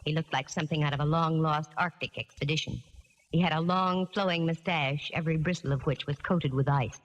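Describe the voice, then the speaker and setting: An actress playing a wisecracking, tough American private eye, narrating in a wry, hard-boiled 1940s radio drama style. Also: female voice, low voice, a little fast, lo-fi sound. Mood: grim, wry. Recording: 1940s radio recording, reverberant, music behind.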